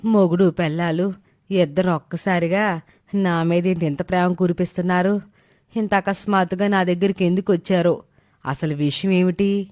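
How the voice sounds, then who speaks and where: Woman voicing a grumbling low-pitched older village mother. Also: steady tone, normal speed, a little mellow, natural voice. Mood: suspicious. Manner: scolding, colloquial rustic speech. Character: stern older mother, grumbling but soft-hearted village matriarch. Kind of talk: animated moral story dialogue.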